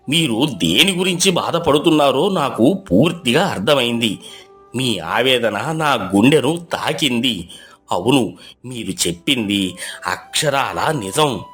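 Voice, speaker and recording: A man voicing an old, deaf village headman who speaks slowly and solemnly in a low voice. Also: a bit bright. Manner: slow, low, ponderous speech. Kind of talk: storybook voice acting.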